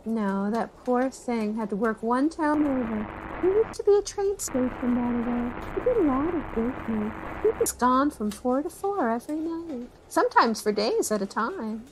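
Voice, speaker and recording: A chatty small-town niece acted by a woman with a fairly high voice. She tells family stories in a folksy, rambling way for a documentary-style audio drama. There is faint noise behind her. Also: lively intonation, normal speed, natural voice. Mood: fond reminiscing. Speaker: interviewee. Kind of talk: acted documentary interview, conversational.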